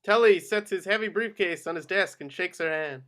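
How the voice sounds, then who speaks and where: A man's voice: sits high, moderate pace, a bit bright. A man reading screenplay action descriptions as scripted narration at a table read. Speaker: reader of stage directions.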